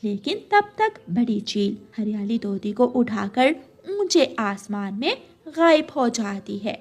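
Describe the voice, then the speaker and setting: A woman reading scripted narration for a children's animated story. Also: a little high, slow pace.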